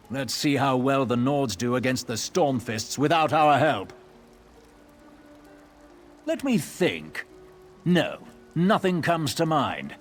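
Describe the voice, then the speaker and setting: A man playing a haughty, easily offended elven nobleman in a fantasy video game, with a scornful manner. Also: mid-range voice. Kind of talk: video game character acting.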